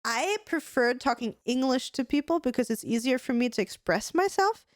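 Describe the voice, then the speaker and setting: A woman's voice: high-pitched, animated, moderate pace, dry recording, studio quality. A woman with an Austrian accent, a native German speaker, co-hosting a podcast in casual, rambling chat full of fillers and restarts. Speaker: podcast co-host.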